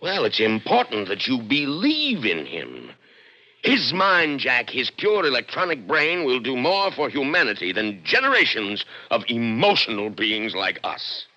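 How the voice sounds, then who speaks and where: An actor playing a proud, coldly rational middle-aged inventor in a radio drama, lecturing emphatically. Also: male voice, mid-range voice, wildly swooping pitch, unhurried, bright, forceful tone, full character voice. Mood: assured, instructing. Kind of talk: 1960s radio drama acting. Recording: dull old radio broadcast recording.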